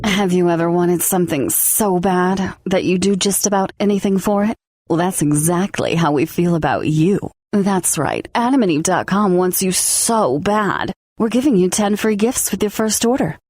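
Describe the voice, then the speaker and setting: A woman's voice: on the low side, normal speed, a little mellow, no echo, studio quality. A woman announcer reading a scripted radio advertisement with an enticing sales delivery.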